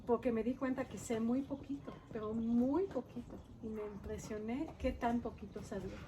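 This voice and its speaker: Israeli-accented woman author, slightly high, halting delivery, noisy recording. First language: Hebrew. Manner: halting, searching for words in Spanish. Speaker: author guest. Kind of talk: conversational interview. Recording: noisy background.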